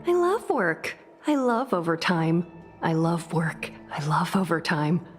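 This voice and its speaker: A woman's voice: lowish voice, sing-song, measured pace, soft background music. A woman playing an overworked, frantically work-devoted employee in a video game. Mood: frantic, drained.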